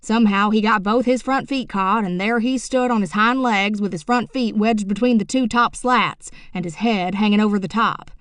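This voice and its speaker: A woman's voice: medium pitch, moderate pace, hardly any pauses, a bit bright, no room sound, clean studio sound, close to an everyday voice. Folksy uncle spinning a yarn, read by a woman. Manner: drawling folksy storytelling. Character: middle-aged rural man, folksy tall-tale teller. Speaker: audiobook narrator. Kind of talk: audiobook character reading.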